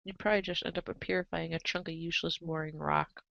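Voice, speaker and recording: A woman's voice: low voice, steady tone, fast talker, vocal fry, a bit bright, no echo. Woman narrator, dry muffled recording. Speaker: narrator in a readers' theater show. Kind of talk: scripted narration of a webcomic. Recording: dull lo-fi microphone sound.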